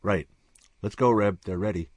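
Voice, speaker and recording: Man doing a grim quiet guy, real low husky voice, halting. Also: no room sound, close to an everyday voice. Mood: grave. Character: grim loyal man, quiet and heavy-hearted.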